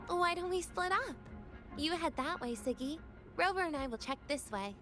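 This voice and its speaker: Young female student, game dialogue. High pitch, cool and composed, dry humor, light teasing. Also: animated, moderate pace, fairly bright tone, faint music behind. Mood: calm, teasing. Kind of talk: video game voice acting.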